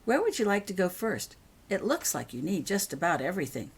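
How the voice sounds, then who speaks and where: A woman's voice: fairly low pitch, big pitch swings, brisk, husky, heavily acted. A woman voicing a kindly, practical, reassuring mother in a storybook reading.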